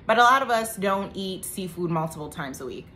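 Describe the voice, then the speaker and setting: A woman's voice: medium pitch, animated, a little fast, pushed and bright, slight background noise. A woman in her thirties giving a casual health talk to camera, chatty, with joking asides. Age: 30s. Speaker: vlogger.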